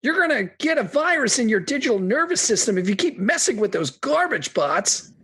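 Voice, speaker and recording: A woman's voice: on the high side, sing-song, brisk, fairly bright tone, slightly rough, full character voice. A woman voicing an upbeat, laid-back slacker pal with slangy, drawling dude talk in a comic radio drama. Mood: advising.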